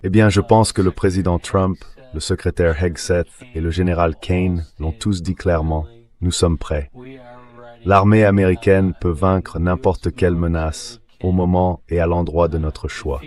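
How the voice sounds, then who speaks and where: A man's voice: deep voice, fairly even intonation, measured pace, a little mellow. An AI-voiced man speaking as a government official, giving answers in a dubbed interview. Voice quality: synthetic AI voice.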